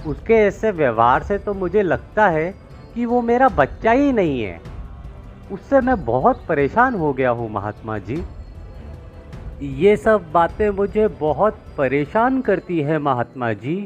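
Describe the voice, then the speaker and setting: A man's voice: sits high, exaggerated voice. A man voicing a distressed, complaining farmer in an acted moral story, pleading and dramatic. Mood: troubled, complaining. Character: distressed, complaining farmer seeking a holy man's help. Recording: muffled lo-fi with music behind.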